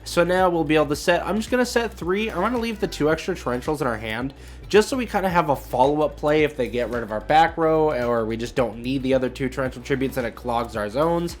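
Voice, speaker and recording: A man's voice: on the high side, lively intonation, fast talker. A young man hosting a gaming video, giving casual, rambling commentary as he thinks aloud. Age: young adult.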